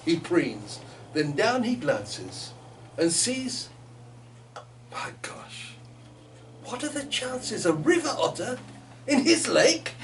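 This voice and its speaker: Animated man reading poetry, noisy distant mic. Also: fairly high pitch. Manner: theatrical, playful delivery. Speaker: poet reading own work. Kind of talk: live poetry reading with chatty asides. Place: gallery reading in front of listeners. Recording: noisy room, distant microphone.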